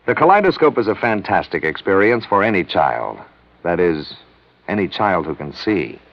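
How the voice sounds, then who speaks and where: A man reads a public service message on a vintage broadcast. His voice is fairly low, with an earnest, measured delivery and lively pitch movement. The sound is dry and dull. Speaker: announcer. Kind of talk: scripted public service announcement.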